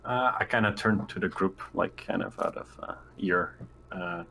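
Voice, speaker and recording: Male roleplayer, very low pitch, casual halting talk, faint background noise and room ambience. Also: pushed and bright, low-quality recording. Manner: halting, thinking aloud. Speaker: player. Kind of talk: casual tabletop roleplay chat.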